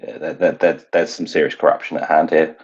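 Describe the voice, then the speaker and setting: A man playing a tabletop roleplaying game, narrating his character in a halting way and often reworking his phrases. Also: a bass voice, steady tone, slightly rough. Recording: dull, lo-fi recording.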